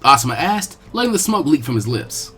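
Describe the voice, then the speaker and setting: A man reading fan fiction aloud as narrator, in an animated storytelling style. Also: medium pitch, a little fast, breathy edge, soft background music.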